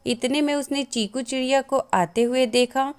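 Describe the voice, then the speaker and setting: Female narrator for children's fables, slightly high voice, scripted storytelling. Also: steady tone, normal speed, clear, resonant tone.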